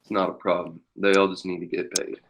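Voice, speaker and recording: A man playing a menacing outlaw gang leader and former cavalry captain in a western table read, speaking in a taunting, deliberate way. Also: low-pitched, flat delivery, creaky, a bit bright, a little hiss or hum, rough recording. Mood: weary, curt.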